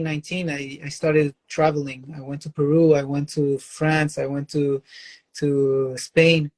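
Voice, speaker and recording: A man who dances electro, talking casually in an interview, halting and repeating himself, often saying "you know". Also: on the high side.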